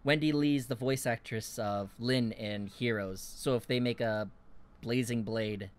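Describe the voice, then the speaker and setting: A man's voice: mid-range voice, fairly even intonation, unhurried, smooth. A man hosting a casual podcast chat, joking around with friends. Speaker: podcast host.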